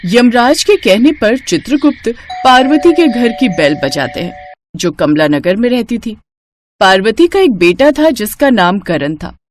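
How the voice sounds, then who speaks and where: A woman's voice: fairly high pitch, animated, a little slow, a little hiss or hum. A woman reading scripted narration for a moral story as its storyteller.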